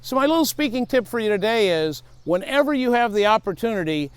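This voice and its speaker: A man's voice: sits high, lively intonation, brisk, clear, resonant tone, no echo. A middle-aged man, a safety speaker, giving earnest advice and telling stories from his past. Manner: earnest, advising, storytelling. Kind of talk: speaking tip to camera.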